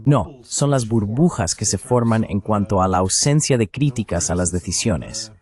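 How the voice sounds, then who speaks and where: Male interviewer, lowish pitch, animated intonation, measured analytical delivery, translated voice-over.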